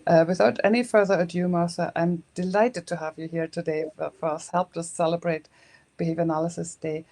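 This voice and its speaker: A woman's voice: on the low side, steady tone, a little fast, a little mellow. A woman hosting a webinar, introducing the guest speaker over a video call. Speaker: webinar host.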